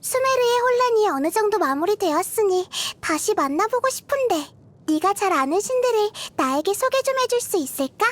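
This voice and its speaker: A woman voicing a tiny, childlike goddess of wisdom in a video game, cute and girlish, gentle, curious and thoughtful, musing softly. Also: extremely high pitch, big pitch swings, normal speed. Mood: thoughtful, calm. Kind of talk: video game character voice lines.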